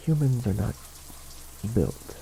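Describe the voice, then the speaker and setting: A man playing an ancient vampire lord in an ASMR romantic roleplay, formal and protective, with measured, theatrical diction. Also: mid-range voice, expressive, vocal fry, dark tone, a little hiss or hum, low-quality recording. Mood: earnest, protective. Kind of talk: ASMR romantic roleplay, scripted character acting.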